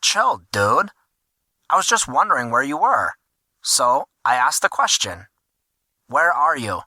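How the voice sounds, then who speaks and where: A man's voice: mid-range voice, big pitch swings, a little slow, bright, forceful tone, no room sound, studio quality, pitched above the performer's own voice, heavily acted. A man voicing a laid-back, teasing teenage school friend with a casual manner in an audio story.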